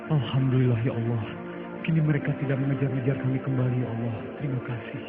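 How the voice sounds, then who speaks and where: A man playing a devout, gentle prophet in a religious cartoon, with a solemn and caring manner. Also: medium pitch, fairly even intonation, fast talker, close to an everyday voice. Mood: prayerful. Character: devout, gentle male prophet leading hidden followers. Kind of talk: dubbed religious cartoon acting. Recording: dull lo-fi audio under loud music.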